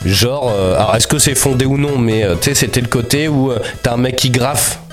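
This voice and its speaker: A man's voice: mid-range voice, a little fast. A man with a cold, chatting casually and rambling about films and cartoons as podcast co-host. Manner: lively, rambling, slangy. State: has a cold.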